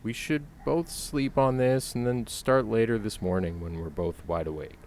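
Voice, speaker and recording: An actor playing a timid, put-upon pizzeria worker, naive and hesitant, in a comedy horror audio drama. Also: male voice, on the low side, normal speed, a little mellow, lightly acted. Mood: nervous. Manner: hesitant, meek.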